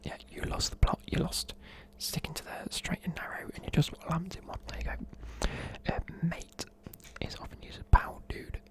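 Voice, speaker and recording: A man, whispering softly in a chatty, rambling way while explaining words. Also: on the high side, little pitch movement, normal speed. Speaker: ASMR creator. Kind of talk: whispered ASMR explaining slang.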